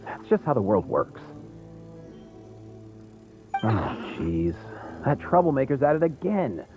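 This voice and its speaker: A man's voice: mid-range voice, sing-song, takes it slowly, over music. A man voicing an easygoing, friendly veterinarian in a video game, casual and teasing. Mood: laid-back, teasing. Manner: casual, breezy.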